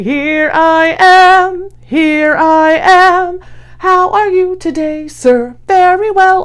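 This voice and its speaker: A woman's voice: high voice, clear, resonant tone. A woman hosting a children's read-along, singing kids' songs and introducing segments. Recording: guitar music behind. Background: acoustic guitar accompaniment.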